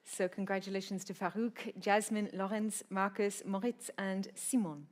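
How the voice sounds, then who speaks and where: A woman's voice: mid-range voice, fairly even intonation, normal speed. A woman hosting a live award ceremony, announcing delegations in an upbeat, chatty way with small laughs. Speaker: ceremony host. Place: large hall with audience. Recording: live event audio with faint crowd and noise.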